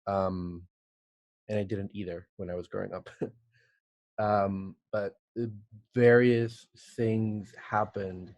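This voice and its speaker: Slow, low-voiced man from El Salvador. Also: little pitch movement, a little mellow, no echo. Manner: halting, thoughtful, many pauses and restarts. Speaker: guest, art director. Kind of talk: casual podcast interview.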